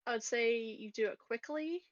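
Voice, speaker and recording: Girl playing a tabletop rpg, highish voice, giggly casual chat, dry slightly muffled mic. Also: normal speed, dry recording.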